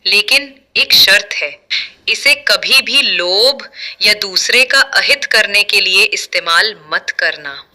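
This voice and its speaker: A woman voicing a benevolent wandering holy man in a storybook tale, calm, measured and instructive. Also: medium pitch, exaggerated voice. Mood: solemn, kindly.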